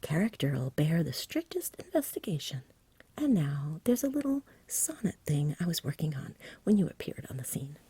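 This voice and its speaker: A woman voicing a lazy, genial, poetry-loving male dragon from a storybook in a soft whisper, with a chatty, polite, dreamy manner. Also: deep voice, big pitch swings, normal speed, breathy edge, no room sound, exaggerated voice. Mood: cheerful, untroubled.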